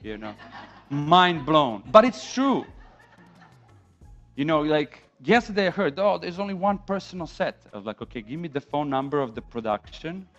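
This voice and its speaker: A man's voice: fairly high pitch, moderate pace. A man in his thirties from Serbia with a Serbian accent, giving an informal, emphatic talk as a conference speaker. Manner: animated, emphatic, rhetorical questions. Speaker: conference speaker on film sound. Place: dim indoor conference room, on stage. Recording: dull lo-fi event recording with music.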